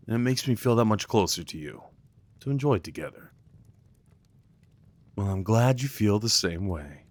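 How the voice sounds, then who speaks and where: A man's voice: on the low side, no echo, natural voice. A man playing an affectionate, playful boyfriend in an intimate ASMR roleplay, talking tenderly and rambling with light teasing. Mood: fond, pleased. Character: affectionate, playful, crafty boyfriend cuddling his partner.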